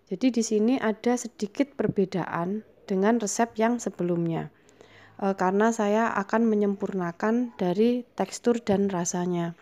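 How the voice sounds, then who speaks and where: A woman's voice: mid-range voice, unhurried, a little mellow. A woman with a Javanese accent hosting a home baking tutorial, answering viewer questions patiently with frequent hesitations. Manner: patient, explanatory, frequent hesitation fillers, addresses viewers as mothers. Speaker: cooking channel host.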